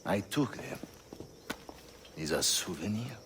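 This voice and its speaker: An actor playing a menacing, proud and scornful mercenary in a video game cutscene, deliberate and disdainful. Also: male voice, fairly low pitch, animated, very noisy. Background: loud game music.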